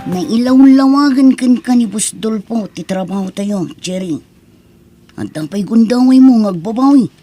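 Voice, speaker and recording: A woman's voice: on the high side, unhurried, warm tone, soft background music, natural voice. A woman acting a confident male friend who recruits and tests, in a persuasive radio-style drama manner. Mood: businesslike.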